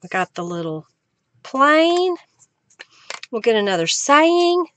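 Slow-talking woman crafting live. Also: high-pitched, big pitch swings, a bit bright, dry recording. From Oklahoma, US. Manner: chatty, giggly, thanks viewers, hums while working. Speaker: crafting livestream host. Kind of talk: casual live crafting chat. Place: craft room at a desk.

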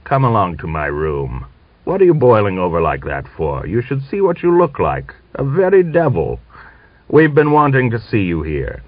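Genial, blustering Cossack officer played by a male narrator, cajoling and pitched above his narration, lo-fi audiobook sound. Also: huge pitch swings, normal speed, no echo. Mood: genial scolding. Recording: dull lo-fi audiobook recording.

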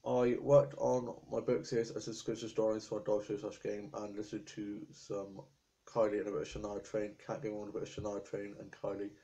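A talkative young man from England chats into his webcam in a small room, listing everything he's been up to. His voice is low and gravelly with a dark, flat tone, and his throat is a little sore. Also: fairly quick, poor audio. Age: young adult. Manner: chatty, rambling, stream of lists. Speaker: vlogger. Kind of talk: casual chat to camera. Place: small indoor room with appliances. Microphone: webcam at desk.

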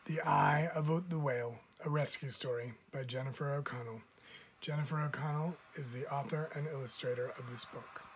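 A man reads a children's picture book aloud in a mid-range voice. He gives key phrases expressive emphasis, as a schoolteacher does. Faint noise sits behind the indoor recording. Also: mid-range voice, normal speed. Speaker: teacher reading aloud. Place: indoors, book filmed from above.

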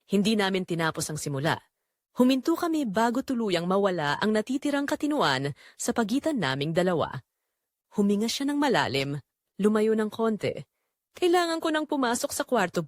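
A woman narrating a scripted first-person romance drama in measured, deliberate short phrases. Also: medium pitch, a little mellow, no room sound, clean studio sound.

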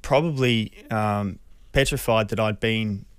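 An Australian man in his thirties telling a personal story in an interview, earnest, hesitant and measured, close on a studio mic. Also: fairly low pitch, clean tone, no echo. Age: 30s. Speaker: radio interview guest. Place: small radio studio. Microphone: close studio microphone.

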